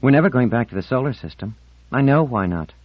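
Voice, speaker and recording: A male narrator plays a defiant teenage girl in an audiobook story, raised well up in pitch. She is articulate and moody, insisting on her point with solemn indignation. The sound is slightly dull and very dry. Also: expressive, quick pace, breathy edge, dry recording. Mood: sullen.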